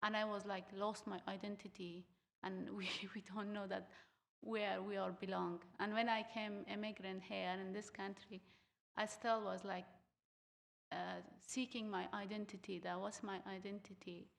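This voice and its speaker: A young woman from Afghanistan whose first language is Uzbek, speaking haltingly with many fillers as a students' union equity officer on a theatre panel. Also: medium pitch, flat delivery, gravelly, big-room sound. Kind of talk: panel talk on stage. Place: theatre stage.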